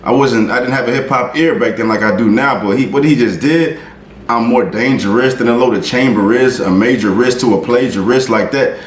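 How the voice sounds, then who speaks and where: A man hosting a reaction video, talking casually and excitedly, swearing often and restarting his sentences. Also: medium pitch, fairly quick, reverberant.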